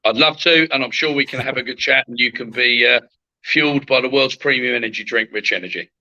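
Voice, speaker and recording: Measured male guest, dull call audio. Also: medium pitch, pushed and bright. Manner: measured, hesitant, with many fillers. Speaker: guest, boxing manager.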